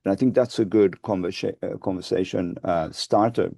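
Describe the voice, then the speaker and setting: A Finnish man in his sixties with a Finnish accent, answering interview questions in a measured, hesitant way, seated in a studio on a lapel mic. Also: sits low, fairly even intonation, creaky, mellow, no room sound. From Finland. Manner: measured, hesitant restarts, gestures with both hands. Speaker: guest, advocacy group founder. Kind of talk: webinar interview. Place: studio with dark backdrop, seated.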